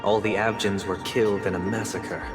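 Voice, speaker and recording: An actor playing a stern, fastidious, pious man of authority in a video game, speaking formally, deliberately and with condescension. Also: fairly low pitch, fairly even intonation, natural voice. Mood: solemn, menacing. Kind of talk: dramatic video game cutscene acting. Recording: long reverb, loud music behind. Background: game soundtrack music.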